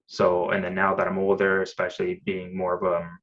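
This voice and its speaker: A man's voice: low voice, monotone, a little fast, a bit bright, lo-fi sound. A man, chatting casually in a rambling way with lots of fillers and restarts. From Canada. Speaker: podcast guest. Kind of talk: casual conversation.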